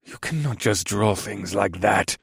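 Man doing a hushed, grave horned thief. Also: lowish voice, lively intonation, a little slow, gravelly, breathy edge, no echo, clean studio sound, heavily acted. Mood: heated warning. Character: foppish horned half-demon thief, shaken, grave, dreading demon lords. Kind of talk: fantasy audiobook character acting.

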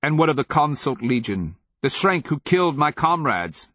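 A man's voice: a little high, sing-song, moderate pace, a bit bright, no echo, lo-fi sound, voice pushed up. A man voicing a bewildered young king in a fantasy audiobook, urgently crying out and demanding answers. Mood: protesting. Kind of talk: fantasy audiobook character acting.

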